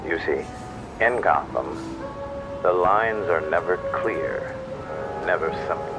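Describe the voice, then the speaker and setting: A man playing a brooding, philosophical late-night radio host, solemn and measured, pausing often. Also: low voice, expressive. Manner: slow, solemn, measured with long pauses. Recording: loud music under the voice.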